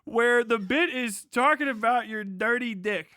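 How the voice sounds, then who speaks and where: A man's voice: very high voice, unhurried, sharp tone, dry recording, clean studio sound. A man giving casual, mocking live reaction commentary as a streamer, incredulous and heavily profane.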